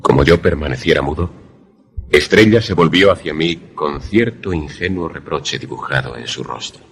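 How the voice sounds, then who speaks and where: A man's voice: very deep voice, animated, moderate pace, creaky, a bit bright, heavily acted. A man playing a grave, questioning protagonist who narrates a suspense radio drama in formal, literary language. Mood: awed, narrating. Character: grave, questioning male narrator-protagonist caught in eerie events.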